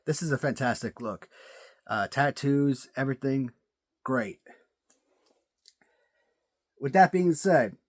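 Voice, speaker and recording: A man's voice: mid-range voice, darkish tone. A man giving casual video commentary on his action figures, chatty and prone to restarting words, his voice sore and cracking. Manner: chatty, repeats words while thinking. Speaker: toy collector reviewing action figures.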